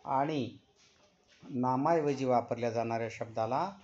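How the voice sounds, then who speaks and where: A man with a Marathi accent teaching a Sanskrit class online, instructive and deliberate in his enunciation. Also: mid-range voice, darkish tone. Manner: instructive, enunciates deliberately, prompts students with questions. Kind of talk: online class instruction with chanted verse recitation. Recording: dull, muffled online class audio.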